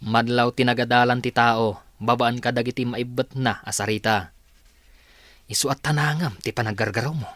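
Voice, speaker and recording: A man's voice: mid-range voice, steady tone, moderate pace, fairly bright tone, no room sound, lightly acted. A man acting as a demanding young rich heir in an Ilocano radio drama, with an Ilocano accent. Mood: instructing, bossy.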